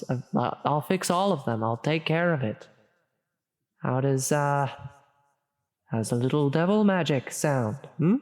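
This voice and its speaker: A woman's voice: deep voice, a little mellow. A woman playing a flustered, well-meaning female demon tempter in an ASMR audio roleplay, hesitant and stammering as she coaxes. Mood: coaxing, hesitant. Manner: hesitant, halting, stammering, coaxing. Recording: long reverberant echo on the voice.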